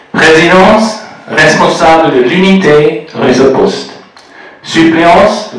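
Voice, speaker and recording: A man with a Swiss German accent, French not his first language, giving a measured, prepared speech at a formal ceremony as a postal official. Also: on the high side, slight background noise. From German-speaking Switzerland. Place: large echoey reception room. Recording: echoey room, speaker far from the mic.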